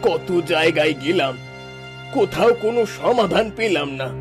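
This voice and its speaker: A man playing a sorrowful, helpless king and father in a melodramatic fairy-tale cartoon, grave, halting and formal. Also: fairly high pitch, expressive, lightly acted. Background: background music.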